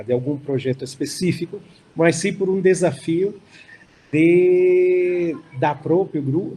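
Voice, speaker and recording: Older Chilean-accented man, mellow voice. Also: medium pitch, slight background noise, poor audio. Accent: Chilean Spanish. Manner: unhurried, many fillers and restarts. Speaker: startup founder panelist. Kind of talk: hybrid panel talk.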